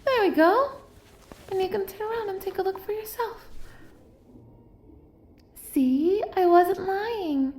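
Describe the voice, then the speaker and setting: Very high-pitched, doting yandere girl played by a woman. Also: slow pace, long pauses, darkish tone, reverberant. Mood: proud, adoring. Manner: cutesy, gushing, coaxing. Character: obsessive, doting young female yandere kidnapper, gushing and sweet. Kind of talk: intimate roleplay audio acting, spoken straight to listener.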